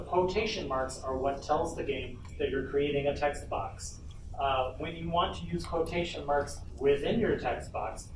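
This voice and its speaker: Distant, echoey man giving a software tutorial. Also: on the high side. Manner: informal, halting narration of own steps. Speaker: workshop presenter, coder. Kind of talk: live software tutorial, thinking aloud. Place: indoor convention panel room. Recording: roomy, distant, noisy panel room recording.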